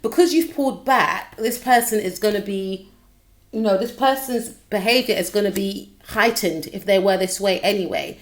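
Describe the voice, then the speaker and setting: Young woman tarot reader, croaky, talkative, middle pitch, direct address to viewer. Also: moderate pace. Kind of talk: casual tarot reading to camera.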